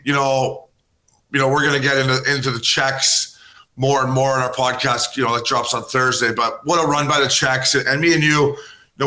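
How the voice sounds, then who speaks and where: A man's voice: mid-range voice, bright, forceful tone. A man co-hosting a podcast, a former hockey player, rambling and joking in loose banter. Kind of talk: casual podcast chat.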